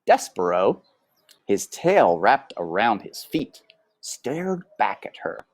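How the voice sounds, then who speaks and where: Low-voiced woman reading a storybook dramatically. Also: very animated, a little mellow, breathy edge, dry recording. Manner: dramatic, deliberate phrasing. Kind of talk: expressive children's book read-aloud.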